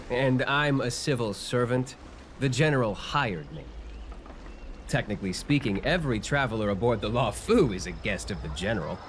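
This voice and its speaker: A man's voice: mid-range voice, lively intonation, moderate pace, a little hiss or hum, lightly acted. A man playing a sly, obliging civil servant in a game, chatty and ingratiating. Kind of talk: English game voice acting.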